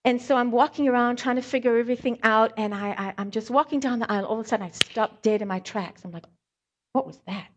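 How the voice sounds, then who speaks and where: A woman's voice: a little high, fast talker. A middle-aged woman with a light Scottish and South African accent gives an informal sermon, reading scripture and telling stories with frequent ums and wry humor. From born in Scotland, raised in South Africa. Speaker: lay preacher, hand therapist. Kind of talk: conversational sermon with anecdotes.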